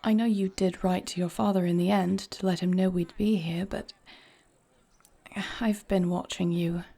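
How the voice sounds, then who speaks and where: Husky, gravelly warrior queen played by a woman. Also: lowish voice, fairly even intonation, no echo. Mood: concerned, thoughtful. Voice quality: husky, breathy. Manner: measured, softly earnest, slightly formal phrasing. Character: noble warrior queen, devoted wife, steady and tender. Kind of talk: intimate fantasy audio roleplay acting.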